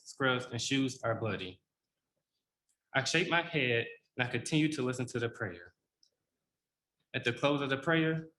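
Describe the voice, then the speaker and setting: A man with a bright, forceful voice reads a prepared personal account. His delivery is solemn and measured. The reading sits in a roomy, echoing space. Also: medium pitch.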